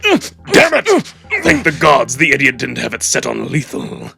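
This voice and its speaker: A man voicing a commanding, impatient old wolf villain with a rough gravelly voice, barking curt orders in a visual novel drama. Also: mid-range voice, huge pitch swings, measured pace, sharp tone, faint music behind, heavily acted. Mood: furious.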